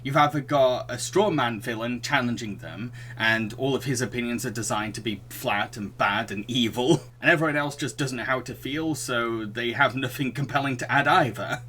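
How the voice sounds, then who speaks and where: A man's voice: mid-range voice, normal speed, hardly any pauses, sharp tone, slight background noise. A man hosting a casual film review podcast, opinionated and mocking in manner. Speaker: podcast host.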